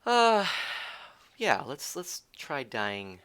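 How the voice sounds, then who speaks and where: A man's voice: fairly high pitch, wildly swooping pitch, very slow, fairly bright tone, clear, resonant tone. A man streaming a game live, chatting with viewers, giggling and teasing as he thinks aloud. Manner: rambling with frequent restarts.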